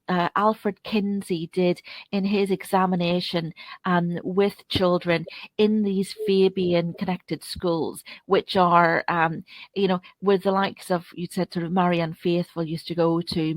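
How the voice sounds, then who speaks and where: A woman interviewer speaking off the cuff, putting long, roundabout questions in a halting way. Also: fairly low pitch, steady tone, no room sound. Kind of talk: unscripted interview discussion.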